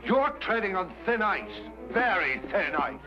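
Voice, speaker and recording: An actor playing a stern, accusing police inspector, pompous and scolding, in an old detective drama. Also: male voice, high voice, big pitch swings, measured pace. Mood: menacing. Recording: dull old broadcast with music behind.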